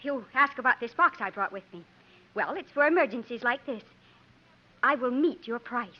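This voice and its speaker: Casting: feisty frontier lady, high voice, haughty and formal, vintage radio drama, muffled recording. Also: expressive, normal speed. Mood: haughty, defiant. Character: proud, suspicious, feisty young gentlewoman of frontier days. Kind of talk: 1950s radio acting. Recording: dull 1950s radio recording.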